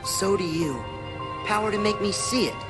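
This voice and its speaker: A man voicing an earnest, excitable teenage boy hero in a video game cutscene, speaking emphatically. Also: sits high, normal speed, close to an everyday voice. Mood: warm, hopeful. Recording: loud music behind the voice. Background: loud game music.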